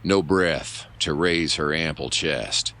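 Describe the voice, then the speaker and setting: A man playing a melodramatic hardboiled serial narrator, grave and mock-heroic, with a gravelly voice. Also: very deep voice, slow, sharp tone, exaggerated voice. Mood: grim. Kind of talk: pulp radio-serial narration. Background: guitar music.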